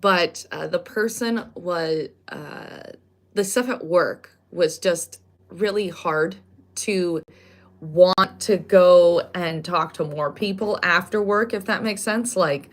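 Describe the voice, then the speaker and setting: A woman talks casually to her live stream chat, her voice raspy and stuffed up from a lingering cold. She sits a little low in pitch and wanders from thought to thought, backtracking and correcting herself. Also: takes it slowly. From Canada. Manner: rambling and self-correcting. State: congested from a cold.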